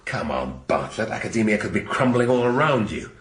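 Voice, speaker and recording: An actor playing a brusque, irascible police chief inspector in a radio drama, blunt and probing. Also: male voice, lowish voice, big pitch swings, a little fast, noisy background. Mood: sardonic.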